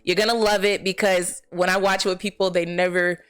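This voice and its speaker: A woman's voice: fairly low pitch, flat delivery, brisk, a bit bright, clear, resonant tone. An American woman speaking casually and expressively as an actress answering interview questions, often posing questions to herself as she explains. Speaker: film actress being interviewed.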